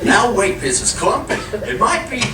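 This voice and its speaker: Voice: medium pitch, sing-song, measured pace. An actor playing a flustered young husband, stammering evasive excuses and placating people in a live radio comedy. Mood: nervous, evasive. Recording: noisy, echoey live stage, distant mic.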